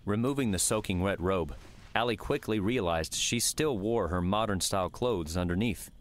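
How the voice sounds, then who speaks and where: A man's voice: low-pitched, moderate pace. A man narrating a scripted story in the third person as an audiobook reader.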